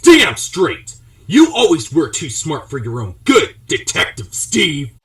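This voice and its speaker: A man's voice: on the high side, huge pitch swings, slow pace, a bit bright, recorded from a distance, heavily acted. A man playing a bitter, grandly menacing villain bent on revenge in an amateur radio drama. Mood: gloating. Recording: echoey room, off the mic.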